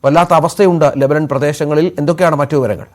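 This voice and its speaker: A man's voice: on the high side, fairly even intonation, fast talker. A man with a Kerala accent reading scripted news as a studio anchor.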